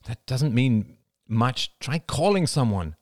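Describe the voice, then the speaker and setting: Casting: male reader as tense but playful dad, reassuring, very expressive, audiobook. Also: medium pitch, slow, no room sound, studio quality, pitched above the performer's own voice. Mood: matter-of-fact. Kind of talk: audiobook character voice.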